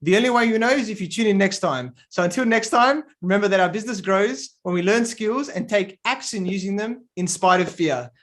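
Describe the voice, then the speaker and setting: A man hosts a business interview show with an upbeat, enthusiastic delivery. His voice sits a little high for a man, and he heaps praise on his guest. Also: fairly quick, few pauses, fairly bright tone. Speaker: podcast host. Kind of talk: interview hosting, show outro.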